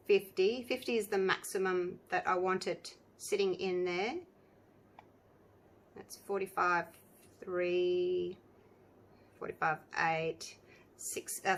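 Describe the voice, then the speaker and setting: A woman with a croaky voice, chatting casually to viewers as she demonstrates a resin craft project. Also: mid-range voice. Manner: chatty, rambling, talks to viewers while working. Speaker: resin craft channel host.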